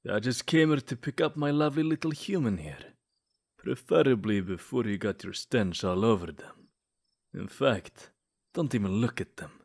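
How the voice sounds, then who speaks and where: A man's voice: mid-range voice, expressive, normal speed, creaky, breathy edge. Lovesick male vampire, played by a man for comedic ASMR roleplay: jealous, snide, theatrically flirtatious. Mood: snide, possessive.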